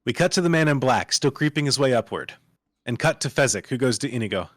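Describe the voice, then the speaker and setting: A man's voice: medium pitch, lively intonation, quick pace, no room sound, clean studio sound. A man reading stage directions as dramatic scripted narration at a live table read.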